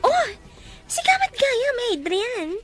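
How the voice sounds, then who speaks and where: A woman acts out a scheming, sharp-tongued melodrama schemer. Her voice sits extremely high and swings dramatically up and down, in the manner of radio drama. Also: big pitch swings, slow, soft background music, full character voice. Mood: conspiratorial. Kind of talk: radio-style melodrama acting.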